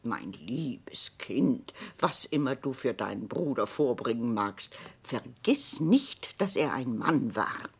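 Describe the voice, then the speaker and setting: A woman's voice: a bass voice, sing-song, slow pace, few pauses, husky, heavily acted. An actress playing an imperious, man-hating old consul's widow in a radio play, with a haughty, grandiose delivery. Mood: coldly resolute. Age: older.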